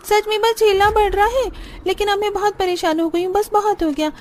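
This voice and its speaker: Woman acting a high, fed-up village daughter-in-law. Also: fairly even intonation, a little fast, a little mellow. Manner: complaining, emphatic.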